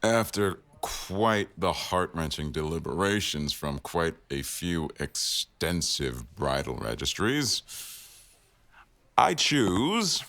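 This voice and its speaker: Man playing a very deep-voiced, wry office boss. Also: very animated, slow pace, bright, forceful tone, no echo, full character voice. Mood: dry, formal. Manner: wry, formal, dryly teasing. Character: stern, teasing male office boss, protective of employee. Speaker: audio roleplay performer. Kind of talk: boyfriend ASMR roleplay acting.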